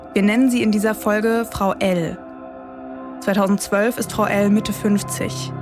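A woman hosting a true-crime podcast, reading scripted narration in short, measured phrases. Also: medium pitch. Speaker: podcast host. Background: music bed.

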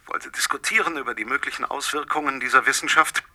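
An actor in a German radio play playing a brooding, coldly obsessive middle-aged husband who speaks with deliberate, quiet menace. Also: male voice, mid-range voice. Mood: brooding, menacing. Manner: deliberate, insistent, drops into whispers.

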